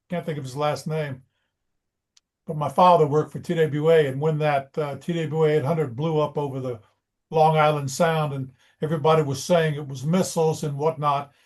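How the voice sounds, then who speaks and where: A man's voice: a little high, darkish tone. An American man from near Washington DC giving casual, rambling feedback in conversation. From United States, near Washington DC.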